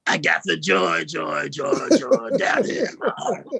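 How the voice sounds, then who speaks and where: A young man from Texas doing a stand-up set, halting and filled with "uh" and "um". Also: fairly high pitch, vocal fry, a crowd in the background. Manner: halting delivery with many fillers. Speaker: stand-up comedian.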